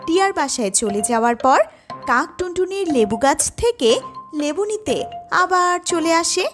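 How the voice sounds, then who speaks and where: A woman's voice: very high voice, sing-song, normal speed. A woman reading scripted narration for a children's cartoon tale. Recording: loud music over the voice.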